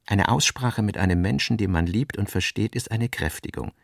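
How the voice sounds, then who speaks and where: A man narrating a literary radio drama in a measured, sober manner. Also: on the low side, vocal fry, no echo, clean studio sound.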